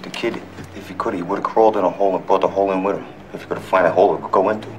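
An actor playing a nervous middle-aged mobster who rambles anxiously and tries to smooth things over. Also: male voice, low-pitched, steady tone, very fast, darkish tone, a little hiss or hum, natural voice. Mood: placating. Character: nervous middle-aged male mobster pleading for his brother. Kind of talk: film dialogue. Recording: distant film soundtrack with music.

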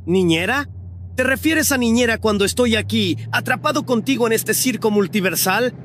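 A man's voice: very high-pitched, moderate pace, soft background music. A man voicing a furious, exasperated adult cartoon adventurer who scolds indignantly. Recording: loud car engine noise behind.

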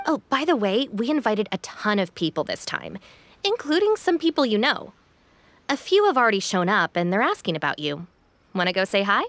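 An actress playing a calm, polite young woman who is organizing an event in a video game. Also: mid-range voice, moderate pace. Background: game music.

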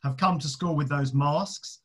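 Husky-voiced rabbi moderating a call. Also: male voice, on the high side. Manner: warm-welcoming, brisk moderator. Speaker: rabbi hosting the session. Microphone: video call.

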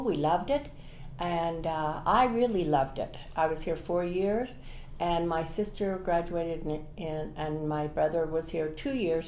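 Older woman, chatty rambling storyteller, lowish voice, roomy room, faint hiss. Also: mellow. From Utah. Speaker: interviewee, alumna. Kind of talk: casual reminiscing. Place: room with large windows, indoors.

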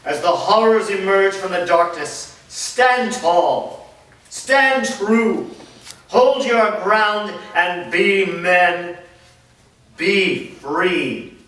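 Man acting high-voiced, impassioned commander rallying soldiers. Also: sharp tone, heavily acted. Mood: solemn, steadfast. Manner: declamatory, solemn oratory with deliberate pauses. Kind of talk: staged reading of a drama. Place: large bare room with small audience, indoors. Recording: very echoey room, distant mic.

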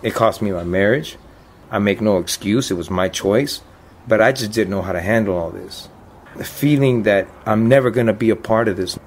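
A man's voice: lowish voice, moderate pace, a little hiss or hum. A man in his fifties, a deported veteran, speaking plainly and earnestly about his life in a documentary interview. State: choked up.

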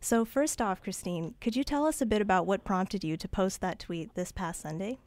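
A woman's voice: medium pitch, normal speed, a little mellow, no room sound. A woman hosting a campus radio show, reading a scripted introduction of her guests. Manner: reads from a prepared script. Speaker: radio host. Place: radio studio. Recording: dry studio sound.

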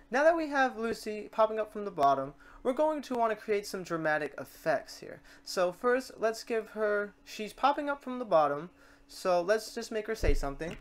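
Casual tutorial person walking thru software, thinking out loud, middle pitch voice. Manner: informal, thinking aloud. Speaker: tutorial presenter.

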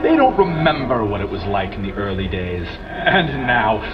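A resentful ghost rails indignantly over a dull radio, his pitch swinging widely. He sounds far off, under loud music. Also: very animated, moderate pace. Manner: indignant, declamatory. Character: resentful activist ghost railing at newcomers. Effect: radio broadcast.

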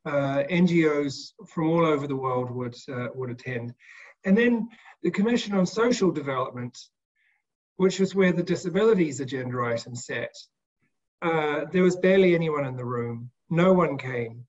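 A man with a slightly high voice reflects as a panelist on a video call. His delivery is measured and halting, with words often repeated. The audio is a little dull. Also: lively intonation. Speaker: panel speaker. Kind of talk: reflective recollection in a panel discussion. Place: indoor room, video call. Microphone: video call microphone.

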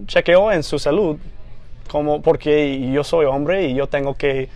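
Casting: young American anchor, English native, fumbling through learner Spanish, slightly high voice, nervous laughs, lapel mic in studio. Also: male voice, darkish tone, no echo. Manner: halting, searching for words with restarts. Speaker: news anchor guest. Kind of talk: casual studio interview. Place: small TV studio, seated on couch.

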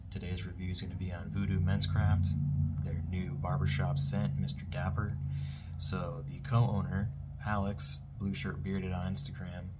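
A man in his thirties reviewing beard products in a relaxed, casual vlog from a dim bedroom, often repeating words of praise. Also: low voice, normal speed, loud music behind the voice.

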